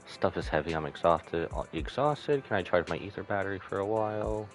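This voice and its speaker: A man with a very deep voice and lively intonation streams a game. He casually reads text aloud and mutters asides over game music. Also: brisk. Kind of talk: live game commentary, reading dialogue and menus aloud.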